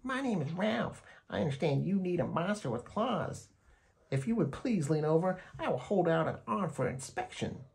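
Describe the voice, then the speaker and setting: A prim storybook monster speaks in a high, silky voice. He is courteous and formal, a dapper fellow fussy about good grooming. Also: very animated, moderate pace, creaky, darkish tone. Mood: polite, prim. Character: polite, dapper, fussy male monster named Ralph.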